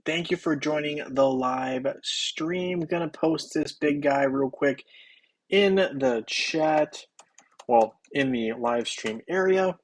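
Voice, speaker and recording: A young man, slightly hoarse, chatting casually on a game livestream and cheerfully greeting viewers by name. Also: a little high, slow.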